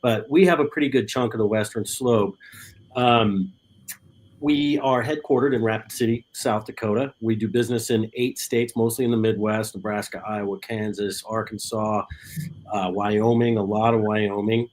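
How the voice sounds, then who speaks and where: A man with a slightly hoarse voice from a cold, speaking as a utility company guest in a casual, halting way. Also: on the low side, steady tone, a little mellow, low-quality recording. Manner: casual, halting, with fillers and repeats. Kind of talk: guest interview.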